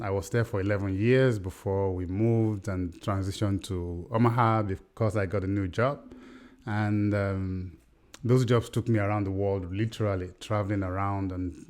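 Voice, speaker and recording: A man in his fifties, originally from Nigeria, talks with a low voice in a relaxed podcast chat. He jokes easily and pauses on fillers while telling his story. His delivery is unhurried and conversational. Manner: easygoing, joking, hesitant fillers. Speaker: podcast co-host, speaker and coach. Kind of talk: casual family podcast chat.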